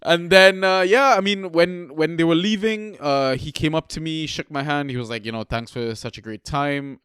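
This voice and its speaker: Young man from trinidad, podcast co-host, loose chatty delivery, smooth voice, dry close mic. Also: fairly high pitch, moderate pace, fairly bright tone, no echo. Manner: laid-back, swears freely, lots of fillers. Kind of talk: casual chat, storytelling.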